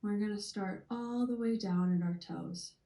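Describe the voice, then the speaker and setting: A woman leading a gentle guided meditation in a soothing, deliberate manner, leaving long pauses. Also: mid-range voice. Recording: roomy, echoey sound.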